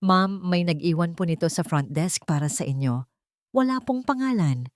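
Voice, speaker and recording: A woman's voice: lowish voice, expressive, moderate pace, no echo, clean studio sound, lightly acted. A woman voicing a respectful, formal military aide reporting to a superior in a story. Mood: polite. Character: deferential military aide bringing urgent news.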